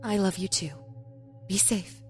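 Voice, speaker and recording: A woman's voice: mid-range voice, fairly even intonation, a little slow, faint music behind, close to an everyday voice. Woman acting guarded wife keeping composure. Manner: guarded, reassuring. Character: tense married woman feigning calm on the phone. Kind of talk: audio drama acting.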